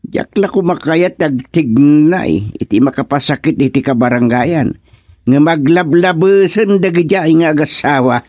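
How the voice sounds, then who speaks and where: An actor with an Ilocano accent playing a worried village husband who talks in a fretful way. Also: male voice, a little high, animated, a little slow, darkish tone. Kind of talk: radio drama acting.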